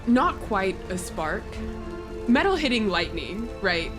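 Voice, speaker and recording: A woman narrating a tabletop roleplaying game as a player, describing things in a thoughtful, measured way. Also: medium pitch, very animated, over music. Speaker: player running a creature.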